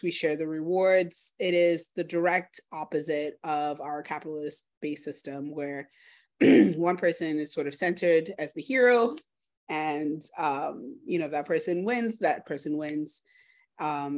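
Low-pitched woman from Trinidad. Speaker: food justice organizer. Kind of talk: personal talk in an online workshop. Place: living room at home, indoors. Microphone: laptop video call.

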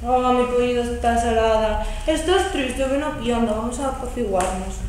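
A woman's voice: fairly high pitch, moderate pace, close to an everyday voice. A teen girl playing a coaxing housewife. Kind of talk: amateur school stage acting. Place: large school hall stage. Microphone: far camera. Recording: echoey hall from far off, hum.

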